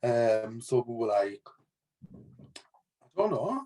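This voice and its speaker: A man's voice: medium pitch, very animated, extremely slow, dark tone, low-quality recording. A man in his late twenties co-hosting a casual chat with joking, teasing banter. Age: late 20s. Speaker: co-host.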